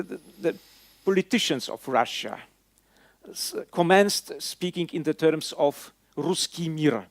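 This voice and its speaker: A man's voice: on the high side, no room sound, studio quality. A man with a Polish accent speaking on a conference panel, often repeating words as he searches for them. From Poland. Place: conference hall.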